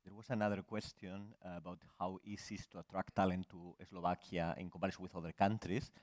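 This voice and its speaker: A man's voice: sits low, little pitch movement, fast, a little mellow. A man moderating a business conference panel and reading out audience questions. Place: conference hall.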